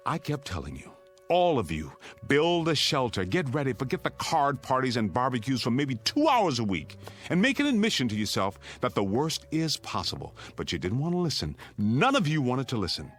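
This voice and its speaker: An actor playing a stern, resolute suburban father in a radio drama, speaking gravely and firmly. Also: mid-range voice, huge pitch swings, moderate pace, a bit bright, faint music behind. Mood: bitter, reproachful.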